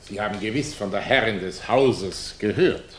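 An actor playing a cunning Russian émigré revolutionary in a German radio play, flattering and condescending, with florid, oily politeness. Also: male voice, fairly low pitch, normal speed, a little hiss or hum, lightly acted. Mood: effusive, condescending.

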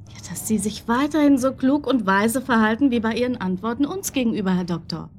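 Schauspielerin als schnell sprechende, kecke Kommissarin. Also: female voice, fairly high pitch, lively intonation, brisk, a little mellow, slight background noise, close to an everyday voice. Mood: pointed. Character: brisk, cheeky female police detective colleague. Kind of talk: German radio drama acting.

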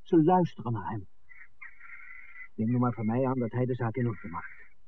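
An actor playing an impatient, pragmatic film maker in a 1960s radio drama, blunt and insistent. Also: male voice, medium pitch, animated, moderate pace, lightly acted. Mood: exasperated, persuasive. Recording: tinny, noisy 1960s radio recording.

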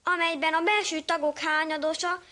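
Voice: extremely high pitch, level tone, pushed and bright. A performer playing an earnest, confused eleven-year-old schoolboy who recites hesitantly in a radio comedy sketch.

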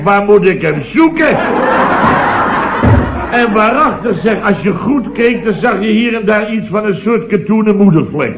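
A grumbling, boastful boss, roomy recording. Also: male voice, on the high side. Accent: Dutch, from the Netherlands. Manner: indignant, drawn-out storytelling with repeated tag words. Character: grumbling, boastful male building-firm boss spinning weekend yarns. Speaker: actor in a radio comedy serial. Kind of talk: radio comedy acting, anecdotal monologue. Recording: dull, roomy vintage radio recording.

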